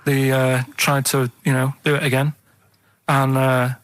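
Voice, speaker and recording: A man telling rambling stories casually, halting and repeating himself, often checking that listeners follow. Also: medium pitch, level tone, no room sound. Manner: halting, repetitive, seeks agreement with "right?". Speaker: podcast and radio co-host storyteller.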